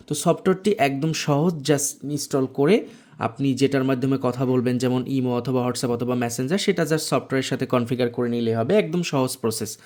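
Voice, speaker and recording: Bangladeshi guy doing a software tutorial, medium pitch, walks thru it step by step. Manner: explanatory, step-by-step. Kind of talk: informal screen tutorial narration.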